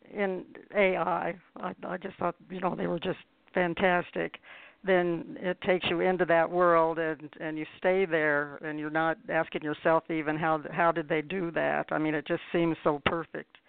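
A woman with a creaky, husky voice hosting a casual interview, agreeable and enthusiastic. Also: low voice, no room sound. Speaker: radio show host. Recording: dry, band-limited phone-like sound.

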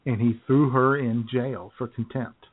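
An American man co-hosting a radio show, telling casual, joking stories in a halting way, often clearing his throat. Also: mid-range voice, steady tone, slight background noise. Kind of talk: casual comic chat, telling anecdotes. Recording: phone-like, narrow-band sound.

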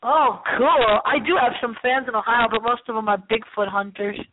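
A woman hosting a paranormal call-in internet radio show in a playful, theatrical, rambling style with drawn-out dramatic delivery. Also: on the high side. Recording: lo-fi phone-like line with hiss.

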